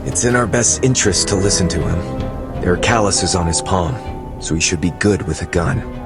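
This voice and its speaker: Man acting low, theatrical gentleman novelist. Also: over loud music, close to an everyday voice. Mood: calm, wry. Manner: theatrical, deliberate, storytelling. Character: composed, shrewd, eloquent gentleman novelist. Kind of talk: video game cutscene acting.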